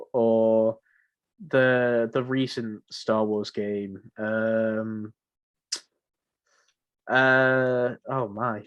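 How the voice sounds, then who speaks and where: A young man co-hosting a podcast in casual chat, speaking haltingly and often repeating his phrases. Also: medium pitch, muffled recording. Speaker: podcast co-host.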